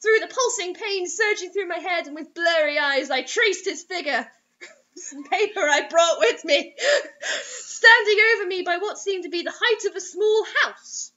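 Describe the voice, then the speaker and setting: Young woman, high voice, hamming up a dramatic read-aloud with wry asides; lo-fi webcam recording. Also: a little slow, pushed and bright. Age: young adult. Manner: theatrical, overwrought. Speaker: co-host reading bad fiction aloud. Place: small indoor room. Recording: dull lo-fi webcam sound.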